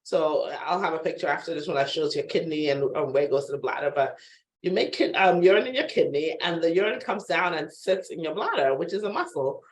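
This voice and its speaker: A woman physician giving an introductory health webinar over a video call, her voice hoarse from a sore throat, conversational with frequent fillers and throat clearing. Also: lowish voice, rough recording. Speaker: physician presenter. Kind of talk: webinar lecture.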